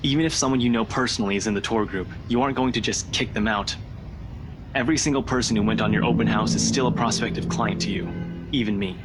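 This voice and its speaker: A man's voice: fairly low pitch, fast, music in the background, natural voice, low-quality recording. A man playing a laid-back young investigator in a visual novel, casually and smugly teasing a friend.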